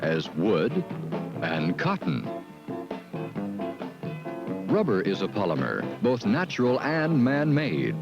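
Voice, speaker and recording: A man giving measured, deliberate scripted narration for an educational training film. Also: lowish voice, wildly swooping pitch. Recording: dull lo-fi film soundtrack with music.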